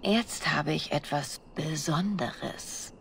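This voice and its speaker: Low-voiced, seductive spy. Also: female voice, slow pace, a bit bright, slight background noise, full character voice. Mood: teasing. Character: seductive, confident female bard spy, playful and scheming. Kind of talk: video game voice acting.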